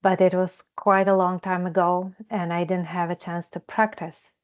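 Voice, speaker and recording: A woman from Ukraine introducing herself to a group over a video call, halting and searching for words. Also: lowish voice, level tone. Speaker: counseling student. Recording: dull lo-fi video call audio.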